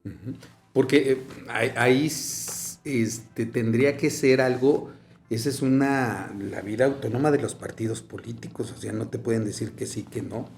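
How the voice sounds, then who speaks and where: A man's voice: medium pitch, fairly even intonation, moderate pace, gravelly, darkish tone. A Mexican man hosting a political podcast, talking in long rambling turns full of fillers. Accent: Mexican Spanish. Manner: rambling, many fillers and restarts. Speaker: podcast host. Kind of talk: political conversation.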